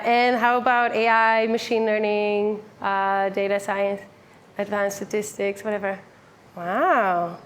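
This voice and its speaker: A woman in her twenties from the Netherlands, giving a casual, upbeat meetup talk to a full room, with lots of "like" and "um". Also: fairly high pitch. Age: 20s. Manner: upbeat, chatty, many fillers like "like" and "um". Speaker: meetup speaker. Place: full room with a live audience.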